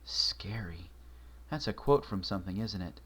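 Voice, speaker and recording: A man reading a story aloud, voicing an anxious, bookish young pony mare who talks earnestly and often in a hushed voice. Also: medium pitch, moderate pace, close to an everyday voice.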